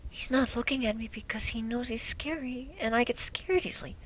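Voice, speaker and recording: A woman voicing a timid, gentle young female pegasus pony, telling a story in a soft, hesitant way that can drop to a near whisper. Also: fairly high pitch, brisk, slight background noise, lightly acted, low-quality recording. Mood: timid, nervous. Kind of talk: first-person dramatic reading of a fan story.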